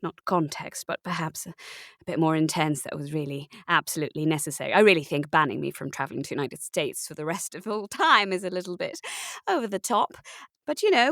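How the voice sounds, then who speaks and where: A woman's voice: fairly high pitch, fairly bright tone, dry recording, clean studio sound. A woman playing a breezily unrepentant British method actress, justifying herself with polite, hesitant euphemism in a mockumentary interview.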